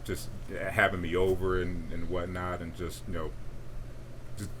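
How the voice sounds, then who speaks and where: A man's voice: little pitch movement, a little slow, fairly bright tone, slight background noise. A young man, chatting casually on a podcast, laid-back and rambling with many fillers. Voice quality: deep voice. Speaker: podcast co-host. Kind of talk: casual chat.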